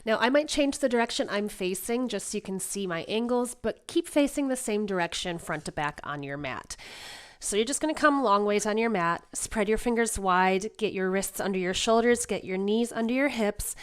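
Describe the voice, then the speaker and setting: A woman's voice: medium pitch, animated, normal speed, smooth. A woman yoga instructor getting over a cold, guiding a class in a casual, reassuring way. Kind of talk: guided exercise class instruction.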